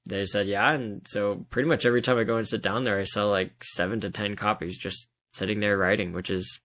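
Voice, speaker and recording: A man's voice: on the low side, steady tone, a little fast, a bit bright. A man from Colorado with an American accent, talking in a chatty, anecdotal way as a guest in a casual interview. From Denver area, Colorado, US. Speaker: interview guest, fiction author.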